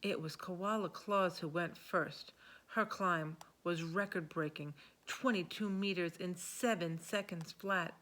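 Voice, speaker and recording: A woman reading a picture book aloud to young children in an expressive storytelling manner. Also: on the low side, a little slow.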